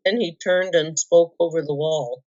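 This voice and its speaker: A woman reading a storybook aloud as narrator, with an expressive, dramatic delivery. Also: deep voice, a little slow. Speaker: volunteer reader. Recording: dull lo-fi roomy recording.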